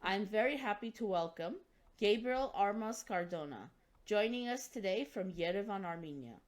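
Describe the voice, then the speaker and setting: A woman hosts an interview with a formal, measured delivery. She sits at a middling pitch and enunciates carefully, as if reading from prepared notes. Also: lively intonation. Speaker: interview host. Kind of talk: formal scripted introduction and interview.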